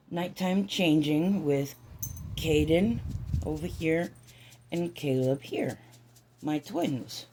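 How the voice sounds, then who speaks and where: A woman chatting softly in a home vlog, her voice raspy and hoarse from a raw throat, halting with many ums and restarts. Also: sits low, slight background noise. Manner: soft, hushed, near-whispering. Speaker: doll-collecting vlogger. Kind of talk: casual vlog chat.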